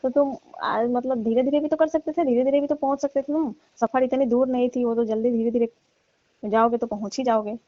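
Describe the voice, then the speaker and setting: A woman's voice: a little high, steady tone, a little fast, no room sound, natural voice. A woman playing a teasing, mock-annoyed middle-aged auntie chatting casually and coyly on a phone call. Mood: teasing complaint. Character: scolding, coy neighbourhood auntie. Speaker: caller in a staged comic phone call. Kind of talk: casual flirtatious phone chat. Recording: dull lo-fi phone-call audio.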